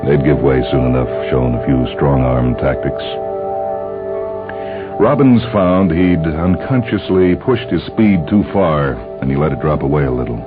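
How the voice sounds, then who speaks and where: A man's voice: very deep voice, unhurried, narrow, tinny audio. A man reading a science fiction story as dramatic scripted narration. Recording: band-limited radio-like sound with music behind. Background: music.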